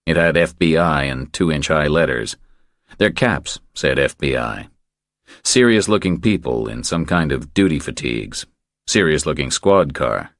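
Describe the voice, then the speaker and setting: Very deep-voiced male audiobook narrator. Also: expressive, measured pace, dry recording, studio quality. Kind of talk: scripted thriller narration.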